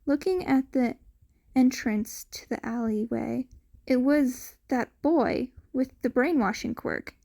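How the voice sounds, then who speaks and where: A woman's voice: sits high, takes it slowly, creaky, dark tone. A woman narrating a piece of fiction from a script, as an audiobook-style reader. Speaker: podfic narrator.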